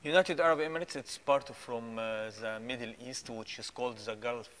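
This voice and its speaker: A man's voice: mid-range voice, steady tone, fairly quick, a bit bright. A man, an interview guest telling his story with many hesitant fillers. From Egypt. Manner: hesitant, many "uh" fillers, non-native phrasing. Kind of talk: testimony interview. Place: small studio set with plants.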